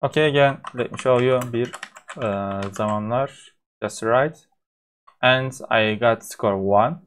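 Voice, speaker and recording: Turkish guy demoing an app, lots of uhs and restarts, middle pitch. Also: a little hiss or hum. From Turkey. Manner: halting, frequent fillers and restarts. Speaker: course instructor. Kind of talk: software demo tutorial.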